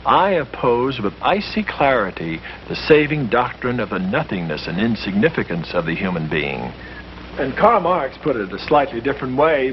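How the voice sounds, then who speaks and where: Earnest man on an old film soundtrack. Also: mid-range voice. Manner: earnest, emphatic, explanatory. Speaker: lecturer explaining history to friends. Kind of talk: scripted 1950s film dialogue. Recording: muffled old film soundtrack with faint hiss.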